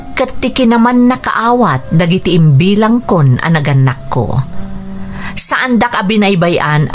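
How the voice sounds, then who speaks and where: A woman acting a resolute young single mother, once deceived in love, in an Ilocano radio drama. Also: lowish voice.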